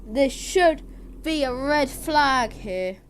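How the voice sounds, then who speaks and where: A high-voiced woman commentates a live sim race in a quick, rambling way. She jumps into excited shouts when cars crash. Her mic picks up a clear, steady hum and background noise. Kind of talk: sim racing commentary and casual chat.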